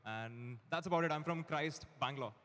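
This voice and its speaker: Young man, Indian accent, raised pitch, casual panelist, reverberant hall. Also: fairly quick, fairly bright tone. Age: 20s. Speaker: startup team member, panelist. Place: indoor event hall with audience.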